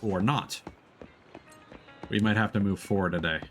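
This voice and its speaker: A man's voice: lowish voice, normal speed. A man giving casual live-stream game commentary, talking in a hesitant, rambling way. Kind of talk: casual gameplay commentary, reading game dialogue aloud. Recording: music behind the voice. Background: game music.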